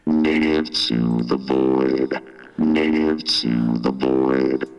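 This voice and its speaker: An extremely low robot voice drones through a vocoder. It chants very slowly and flatly, like an electronic music sample with synth tones. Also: male voice, smooth, no room sound, heavily acted. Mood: robotic drone. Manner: monotone, slow chant. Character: droning robotic synthesizer voice from the void. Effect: vocoder robot filter.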